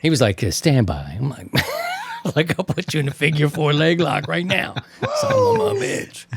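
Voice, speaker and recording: A man's voice: fairly high pitch, wildly swooping pitch, moderate pace, vocal fry, very noisy. An American man hosting a casual radio hobby talk show, telling stories in a slangy way with playful mock insults. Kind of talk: casual chat.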